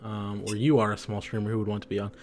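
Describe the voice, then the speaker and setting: A man's voice: on the low side, level tone, quick pace, darkish tone, a little hiss or hum. A young man co-hosting a podcast in casual chat, a bit tipsy from drinks, rambling with lots of fillers. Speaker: podcast co-host.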